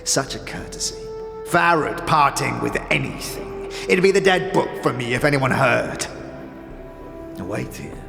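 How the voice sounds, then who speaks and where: An actor playing a sly, withered old scavenger boss in a fantasy audio drama, wheedling and cagey, with archaic, inverted phrasing. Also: male voice, mid-range voice, expressive, unhurried, vocal fry, over music. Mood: grudging, indignant. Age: older. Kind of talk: audio drama character acting. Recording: long cavernous reverb.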